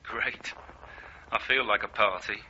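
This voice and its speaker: Casting: eager young man, very bright tense voice, guarded charm, muffled radio drama sound. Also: lowish voice, steady tone, measured pace, slight background noise. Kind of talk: 1980s British radio drama acting. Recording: dull lo-fi radio drama recording.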